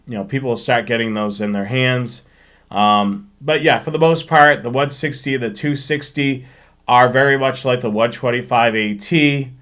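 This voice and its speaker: A man with a mid-pitched voice hosts a casual live stream. He talks informally, explaining technical points and thinking out loud as he answers questions. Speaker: host of a railfanning live show.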